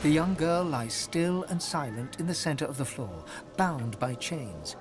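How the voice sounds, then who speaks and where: A man playing a calm storyteller who narrates a fantasy video game in a measured, descriptive way. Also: fairly high pitch, over music. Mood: calm, hushed. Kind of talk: scripted narration.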